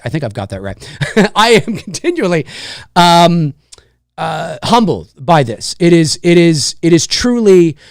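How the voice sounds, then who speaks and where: A hoarse man with a fairly high voice hosts a live stream in a casual chat. He talks excitedly, shouts out supporters loudly and trips over his words as he restarts. His voice sounds close and dry, with no room around it. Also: dry recording. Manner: excitable, loud shout-outs, many restarts and fillers. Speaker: livestream host.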